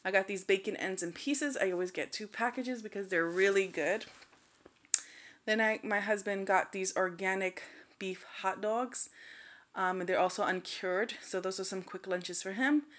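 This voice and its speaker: Woman, thirties, medium pitch, chatty, straightforward, casual vlog delivery, home recording. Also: fairly bright tone. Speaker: vlogger. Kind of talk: casual grocery haul vlog. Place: indoors at home.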